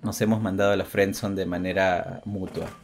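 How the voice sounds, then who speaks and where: A man's voice: low-pitched, little pitch movement, normal speed, creaky. A young man co-hosting a casual video podcast, hesitant and rambling, often repeating his phrases. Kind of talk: casual chat. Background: background music.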